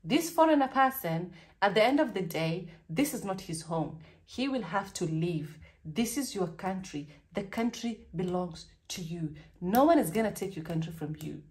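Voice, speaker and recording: A woman's voice: mid-range voice, lively intonation, moderate pace, hardly any pauses, a little mellow. A young woman in her twenties with a Kenyan accent, chatting candidly and animatedly in a storytime vlog recorded in a small bedroom. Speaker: vlogger.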